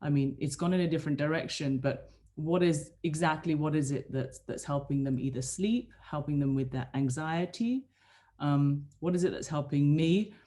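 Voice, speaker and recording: A woman's voice: low-pitched, moderate pace, mellow. A woman musician talking over a video call, earnest and searching, often restarting her sentences. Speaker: musician guest.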